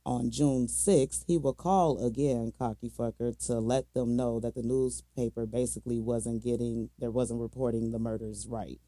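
An American man tells true crime stories as a podcast co-host, loose and full of slang. His voice is very dark and mellow, with a creaky, gravelly grain. The sound is dry and close. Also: medium pitch, steady tone, normal speed, few pauses, dry recording. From Minnesota, United States.

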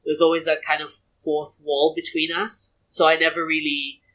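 A young man from Brunei chatting as an interview guest, animated and full of asides and restarts. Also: fairly high pitch, normal speed, poor audio. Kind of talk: casual conversational interview.

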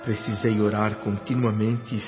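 A man's voice: on the low side, level tone, measured pace, close to an everyday voice. A man playing a reflective spirit who narrates his journey in a radio drama, solemn, with a Brazilian accent. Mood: horrified, solemn. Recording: dull radio-drama sound, music behind.

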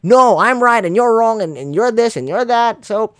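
A man's voice: extremely high pitch, animated, moderate pace, dry recording, studio quality. A man hosting a podcast, a Filipino speaker of English as a second language, talking earnestly and encouragingly about love and positivity. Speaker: podcast host. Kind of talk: casual podcast interview.

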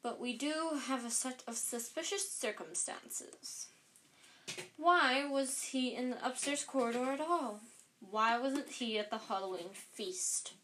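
A woman's voice: sits high, unhurried, fairly bright tone, a little hiss or hum. A woman playing a cold, sneering teacher.

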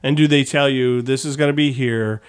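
A man hosting a casual interview in a chatty, joking and excitable way. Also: mid-range voice, moderate pace, clean tone. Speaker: interview host.

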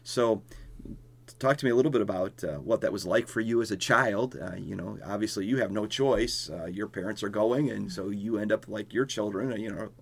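A middle-aged or older man with a gravelly voice, speaking as a pastor hosting a podcast interview. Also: lowish voice, fairly quick. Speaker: podcast host and church pastor.

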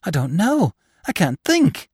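Guy doing a jumpy obedient young nephew, storybook style, anxious, voice lifted high, dry recording. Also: big pitch swings, a little slow, dark tone, husky, dry recording, full character voice. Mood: frightened. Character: pale, nervous young nephew, obedient and easily dismayed.

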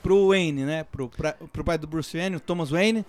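A man with a Brazilian Portuguese accent in a casual group chat, telling things in an animated, slangy way and swearing freely. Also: on the high side, unhurried, a crowd in the background. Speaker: panelist in a film discussion.

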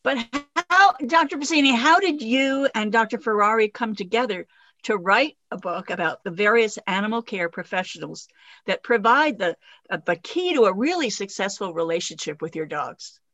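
A woman hosting a radio interview show, delivering formal, deliberate introductions and questions. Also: medium pitch, animated, fairly bright tone, no echo. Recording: dull, dry studio sound.

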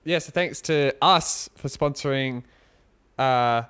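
A man co-hosting a podcast in casual chat, rambling and joking with halting restarts. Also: mid-range voice, fairly bright tone, smooth. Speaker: podcast co-host. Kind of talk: casual chat, mock sponsor read.

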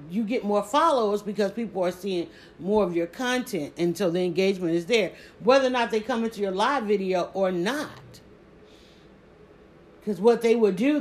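A woman with a Southern accent chatting casually on a live stream, talking quickly and restarting her sentences often. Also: mid-range voice. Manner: rapid, stumbling restarts, animated emphasis. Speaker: social media creator.

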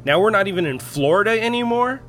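A man's voice: high voice, expressive, quick pace, fairly bright tone, soft background music. A man acting as an adventurer in an audio drama, speaking casually.

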